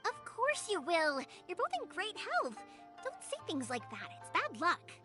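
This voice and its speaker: An actress voicing a cheerful, energetic young fireworks maker in a video game, bubbly and reassuring. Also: female voice, very high voice, unhurried, full character voice. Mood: friendly. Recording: music behind the voice.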